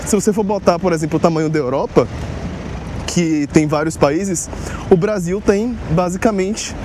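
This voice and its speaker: A man's voice: sits high, moderate pace. A young man from Salvador, casually explaining to his vlog audience in a direct, conversational way. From Salvador, Northeast Brazil. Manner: conversational, direct address with rhetorical asides. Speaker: vlogger on life abroad. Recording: street noise behind.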